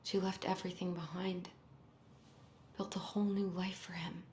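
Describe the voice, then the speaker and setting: An actress playing an earnest, determined young woman in a video game, soft-spoken and a little hesitant. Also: on the low side, level tone, moderate pace, roomy sound, slight background noise, close to an everyday voice. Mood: hushed, sorrowful. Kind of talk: naturalistic game cutscene dialogue.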